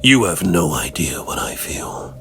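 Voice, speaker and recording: A man playing a grim, stern vigilante detective in a comic dub, gravelly, terse and deadpan. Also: low-pitched, measured pace, soft background music, heavily acted.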